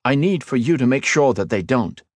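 A man's voice: mid-range voice, expressive, moderate pace, no echo, pitched above the performer's own voice, close to an everyday voice. A man voicing Ethan, an earnest, modest young male hero in a fantasy audiobook.

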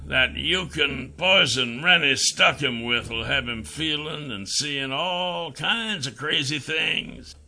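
Man playing a gravelly, sharp-edged bullying thug. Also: a little high, takes it slowly, few pauses, full character voice. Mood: sneering. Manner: menacing, sneering. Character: gruff, bullying male enforcer and his jeering cronies. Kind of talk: dramatized audiobook character acting.

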